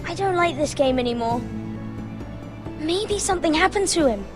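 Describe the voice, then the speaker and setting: A woman's voice: high-pitched, lively intonation, normal speed, music in the background. A woman voicing an anxious, plainspoken little noble boy in a fantasy game, childlike and plaintive. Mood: worried, sulky. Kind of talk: dramatic fantasy game acting.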